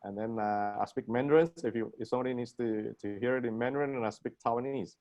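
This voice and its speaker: A man's voice: medium pitch, steady tone, fast talker, darkish tone, highs cut off. Middle-aged man with a South African accent. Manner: self-deprecating, joking. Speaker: guest lecturer, plastic surgeon. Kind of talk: informal webinar lecture. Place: indoor room at home, video call.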